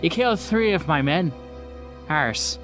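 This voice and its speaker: A man's voice: a little high, lively intonation, moderate pace, a bit bright, pitched above the performer's own voice. Menacing male mob boss played by a game master, terse and commanding, tabletop crime drama, music underneath. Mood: angry.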